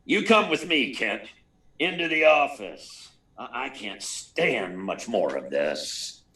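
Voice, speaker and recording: An actor playing a harried, gruff newspaper editor in a 1940s-style radio serial, stammering and barking clipped orders, recorded at a distance. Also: male voice, medium pitch, sing-song, slow pace, bright, forceful tone, heavily acted. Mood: weary, worried. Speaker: actor in a live radio play. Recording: distant, far from the mic.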